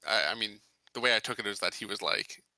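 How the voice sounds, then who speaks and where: A man playing a tabletop game, talking casually and improvising his character's words haltingly as he thinks aloud. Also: lowish voice, sharp tone, no echo. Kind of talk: casual improvised roleplay chat.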